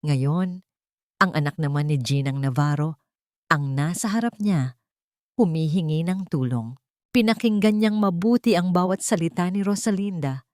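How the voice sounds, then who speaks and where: A low-voiced woman narrates a scripted romantic drama. Her delivery is measured and dramatic, broken into short storytelling phrases. The recording is close and dry, with no room around the voice. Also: a little mellow, no echo.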